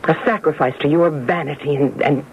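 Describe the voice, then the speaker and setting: A woman playing a sardonic middle-aged ex-wife in a radio drama, speaking in an articulate, measured way. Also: low voice, fairly even intonation, close to an everyday voice. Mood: wounded, firm. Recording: dull old radio recording, faint noise.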